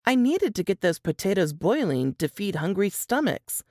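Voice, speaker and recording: A woman reading suspense fiction as an audiobook narrator, measured and expressive in a dramatic scripted style. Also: medium pitch, no room sound, studio quality.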